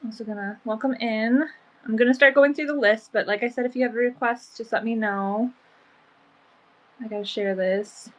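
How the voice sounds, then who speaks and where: A woman's voice: on the high side, steady tone, normal speed, muffled recording. A woman with a sniffly, allergy-stuffed voice hosting a casual live sale from home, chatting along with lots of "um" and "like.". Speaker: online vintage seller.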